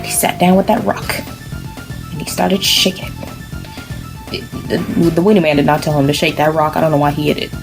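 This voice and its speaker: A woman improvises a comic bedtime story in a slightly low voice. She keeps it deadpan and mock-serious, tossing in sassy asides. A music bed runs clearly behind her. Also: fast. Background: background music.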